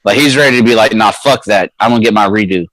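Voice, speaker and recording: A man's voice: on the low side, quick pace, a bit bright, rough recording. A man co-hosting a casual podcast, talking in slangy, stop-start bursts and repeating himself while he argues a point. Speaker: podcast co-host.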